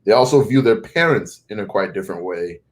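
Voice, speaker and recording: A man's voice: on the low side, lively intonation, brisk. Young man with a North American accent. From Zimbabwe. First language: not English. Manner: thoughtful, restarts and self-corrections. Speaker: guest. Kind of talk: conversational interview.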